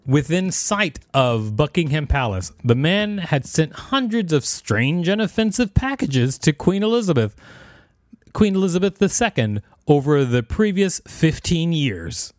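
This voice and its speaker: Lively, high-voiced man reading aloud. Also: a little slow, no echo, clean studio sound. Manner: playful, jokey asides between reading. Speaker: podcast co-host reading an article. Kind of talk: casual read-aloud with asides.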